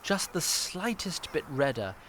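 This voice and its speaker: A man's voice: on the high side, very animated, unhurried, a bit bright, a little hiss or hum. A man giving dramatic scripted narration for a fantasy audio drama.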